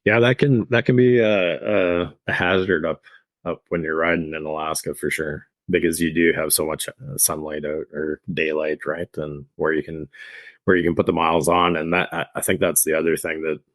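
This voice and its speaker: A man with a very deep, flat voice talks as a guest about riding. His speech is halting and rambling, full of restarts. The recording is dry and close. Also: no echo. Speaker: motorcycle tour operator, guest. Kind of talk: casual interview.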